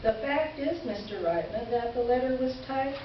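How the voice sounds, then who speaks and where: A woman's voice: fairly high pitch, expressive, normal speed, natural voice. A woman playing an indignant, articulate columnist reading out a formal, pointed letter of complaint in reader's theater. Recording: echoey hall from far off, dull, hissy.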